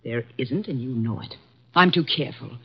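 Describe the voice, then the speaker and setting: An actress playing a long-serving office secretary, with a crisp, proper and pointed manner in 1950s radio drama. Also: female voice, very deep voice, animated, normal speed, full character voice. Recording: dull lo-fi 1950s radio broadcast.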